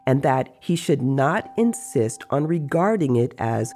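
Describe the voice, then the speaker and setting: A narrator telling a dramatized story in a measured, deliberate way with pauses. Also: mid-range voice, big pitch swings, darkish tone. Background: music.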